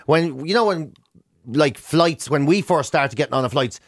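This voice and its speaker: Irish man, middle-aged radio host. High voice, dry studio sound, comic casual banter with mock indignation. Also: moderate pace, no echo. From Ireland.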